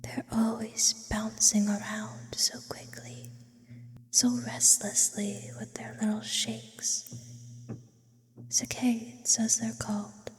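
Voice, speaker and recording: A woman voicing an immortal, brooding, lonely sleep paralysis demon in an ASMR roleplay, whispering slowly with eloquent, philosophical phrasing. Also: on the high side, completely flat delivery. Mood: eerie, intimate, musing. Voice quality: breathy whisper. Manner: slow, philosophical, ornate wording with lingering pauses. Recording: close whisper with long reverb.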